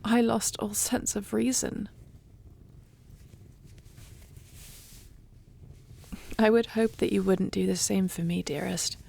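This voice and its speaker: Woman acting an intimate, creaky-voiced dragon queen. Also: mid-range voice, normal speed, warm tone. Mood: tender, relieved. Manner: intimate, formal storybook speech. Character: devoted dragon queen, fierce yet tender wife. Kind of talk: audio roleplay acting.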